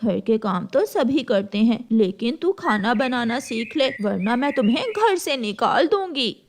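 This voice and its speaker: A woman's voice: fairly high pitch, sing-song, measured pace, heavily acted. A woman playing a scolding, self-pitying old mother-in-law bird in a children's cartoon, complaining and lamenting. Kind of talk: Hindi children's cartoon voice acting.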